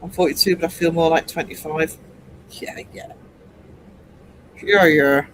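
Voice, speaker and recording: A man's voice: a little high, steady tone, a little slow, background noise. Chatty man in his sixties. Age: 60s. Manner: chatty, teasing, laughs often. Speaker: livestream host. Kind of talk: casual chat reading viewer comments.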